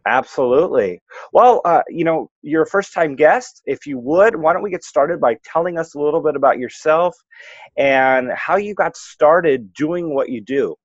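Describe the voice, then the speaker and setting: A man's voice: on the high side, expressive, moderate pace, no room sound. Upbeat male host, dry dull sound. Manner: upbeat, enthusiastic introductions. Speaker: show host. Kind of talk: interview host introduction.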